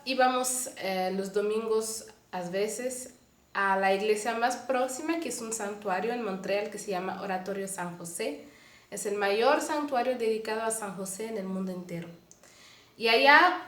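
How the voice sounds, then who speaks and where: Earnest Canadian woman with Mexican-tinged Spanish. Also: a little high. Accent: Mexican-tinged Spanish mixed with Portuguese. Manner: earnest, unhurried, frequent hesitations. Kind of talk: personal faith testimony. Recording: roomy echo.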